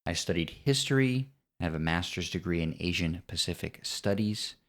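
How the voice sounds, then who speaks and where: Deep-voiced American man co-hosting. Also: animated, moderate pace. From United States. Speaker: podcast co-host. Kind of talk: casual podcast chat.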